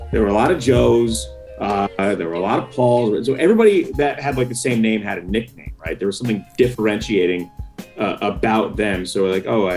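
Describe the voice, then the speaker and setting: A man from New York, a radio announcer chatting casually as a guest and acting out bits of dialogue. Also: lowish voice. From New York, United States. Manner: animated storytelling, acting out quoted dialogue. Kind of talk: casual chat.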